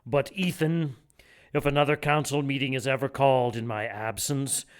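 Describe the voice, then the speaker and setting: An actor playing a menacing cult villain in an audio drama, coolly polite and vengeful, with measured, pointed speech. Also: male voice, medium pitch, fairly even intonation, smooth, lightly acted. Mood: cold restraint. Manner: measured, pointed, veiled threats.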